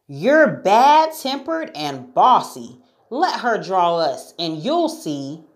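A woman's voice: mid-range voice, sing-song, slow pace, voice pushed up. A woman voicing outspoken jungle animals who scold boldly in a children's story. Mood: defiant.

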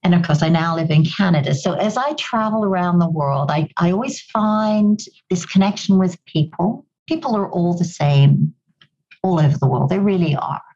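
A woman's voice: lowish voice, lively intonation, moderate pace, darkish tone, dull audio. A woman from Goa raised in Kenya, with a slight English-tinged Kenyan accent, speaking earnestly as a podcast guest and often restarting her words. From Goa, India; raised in Kenya. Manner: earnest, reflective, repeats words as she restarts. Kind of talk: conversational interview answers.

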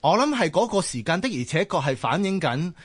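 Animated Hong Kong Cantonese man explaining. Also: on the high side, a little fast, slightly rough, no room sound. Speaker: talk show panelist. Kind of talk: casual explanatory discussion.

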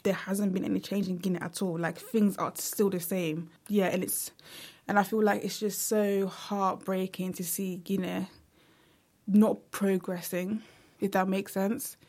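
A woman's voice: medium pitch, little pitch movement, measured pace, a little mellow. A young woman speaking earnestly in a casual vlog monologue, with frequent fillers like "like" and "you know". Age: young adult. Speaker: vlogger.

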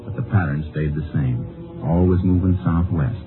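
Texas Ranger: low, American, laconic, folksy, unruffled and shrewd. Vintage radio Western sound, narrow band, music under. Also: male voice, moderate pace. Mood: matter-of-fact narrating. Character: calm, shrewd male Texas Ranger posing as a drifter. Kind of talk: 1950s radio drama acting with first-person narration. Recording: muffled old-time radio recording with music.

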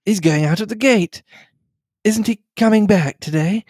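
A man reading the lines of a reserved young English wife, speaking quietly and in a measured way. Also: high voice, husky, dry recording, clean studio sound, voice pushed up. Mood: thoughtful.

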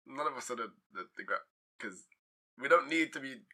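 A man's voice: mid-range voice, lively intonation, bright, forceful tone. A young man, hosting a casual podcast with his friends and teasing them. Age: young adult. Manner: fast, teasing, laughs often. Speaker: podcast host. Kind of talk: casual chat.

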